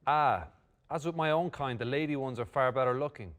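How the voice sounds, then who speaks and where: A man playing a blunt, confident envoy in a fantasy table read, speaking in measured, formal speeches. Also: medium pitch, fairly bright tone, close to an everyday voice. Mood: cool, bold. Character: confident, flirtatious male envoy, blunt and unflinching.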